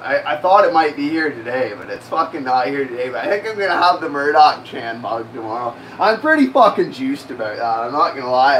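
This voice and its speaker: A man's voice: on the high side, fairly bright tone, recorded from a distance, slight background noise, low-quality recording. A young man in his twenties, swearing and rambling casually while cooking in a small home kitchen. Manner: rambling, heavy swearing and fillers. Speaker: cooking stream host.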